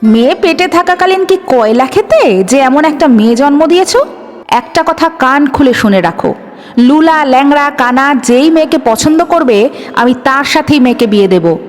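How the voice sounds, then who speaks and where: A woman's voice: sits high, animated, normal speed. A woman voicing a harsh, angry, bitter middle-aged father in an audio story. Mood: angry, scornful. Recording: long reverb with music behind.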